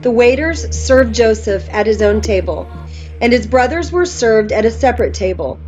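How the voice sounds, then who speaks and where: Female narrator for dramatic Bible read-aloud, somewhat high voice, music bed underneath. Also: expressive, normal speed, a little mellow, highs cut off. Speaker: narrator reading scripture aloud. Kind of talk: dramatic scripted Bible storytelling. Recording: music behind the voice.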